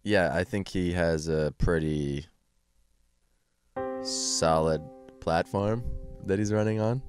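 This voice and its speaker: Deep-voiced deadpan podcast guy. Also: takes it slowly, music in the background. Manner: deadpan goofy riffing. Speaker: podcast co-host. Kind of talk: casual joking banter. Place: small home studio. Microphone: podcast mic on boom arm.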